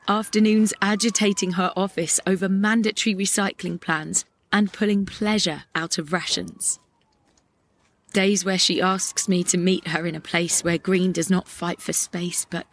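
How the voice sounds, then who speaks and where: Weary, reflective young woman, acted out by an actress. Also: fairly low pitch. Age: young adult. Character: weary, reflective young woman narrating a climate-ravaged world. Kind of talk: poetic audio play monologue.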